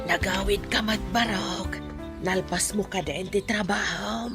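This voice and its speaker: A woman's voice: medium pitch, fairly even intonation, a little slow, loud music behind the voice, lightly acted. An actress with a Filipino accent playing a doubtful, practical mother of a grown son in a radio drama. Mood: gently doubtful. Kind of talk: Ilocano radio drama acting.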